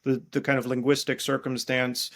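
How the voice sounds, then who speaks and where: A man's voice with a gravelly fry underneath, at a middling pitch. He answers like an academic guest in an interview, feeling his way through each explanation and doubling back on words as he rephrases them. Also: monotone, a bit bright. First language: English. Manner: halting, thinking aloud, repeating words as he rephrases. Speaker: guest biblical scholar and priest.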